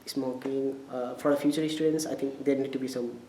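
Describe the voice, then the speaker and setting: A man's voice: mid-range voice, steady tone, moderate pace, mellow. Hesitant young Nepali man, echoey room. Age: young adult. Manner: hesitant, searching for words. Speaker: college student interviewee. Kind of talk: casual interview answers. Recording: echoey room with background noise.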